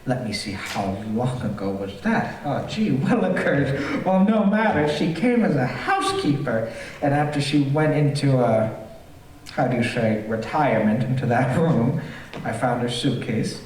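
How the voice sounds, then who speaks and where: A man's voice: medium pitch, animated, measured pace, a little mellow, slight background noise, natural voice. Man playing a gleeful, sinister killer, very echoey theater. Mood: sly reminiscing. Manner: theatrical, savoring words, punctuated by chuckling. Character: gleeful, sinister male killer who lures housekeepers. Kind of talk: live stage performance of an old-time radio horror play. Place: theater stage, live stream. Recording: very echoey theater, distant microphone.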